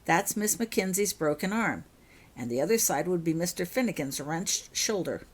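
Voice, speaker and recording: A woman voicing a brisk, kindly, matter-of-fact healer at a school in a storybook reading. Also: on the low side, lively intonation. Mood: brisk, caring.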